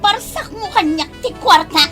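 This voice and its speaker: A woman's voice: very high voice, animated, normal speed. An actress playing a haughty, scornful rich young woman in an Ilocano radio drama, theatrical and taunting. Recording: loud music bed behind voice.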